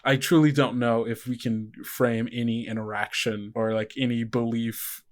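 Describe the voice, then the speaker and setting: A man co-hosting a podcast, casually talking through ideas for a tabletop role-playing game in a halting, think-aloud way. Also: medium pitch, level tone. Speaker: podcast host and game facilitator. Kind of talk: casual unscripted tabletop role-play discussion.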